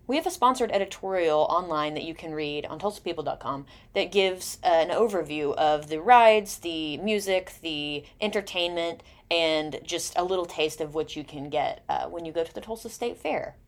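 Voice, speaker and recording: A woman host runs through event listings and a weekly beer pick, reading them in a brisk, informational way. Her voice sits deep for a woman. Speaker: radio show host. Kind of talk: reading community announcements.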